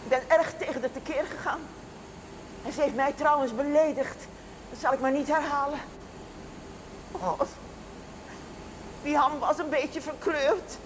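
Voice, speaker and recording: A proud, upset older widow from a small shop. Her voice is on the high side and plaintive, with stubborn dignity. It is a television drama with clear background noise on the old soundtrack. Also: a little slow, pauses often. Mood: indignant, upset. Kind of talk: naturalistic television drama acting. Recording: noisy 1970s television soundtrack.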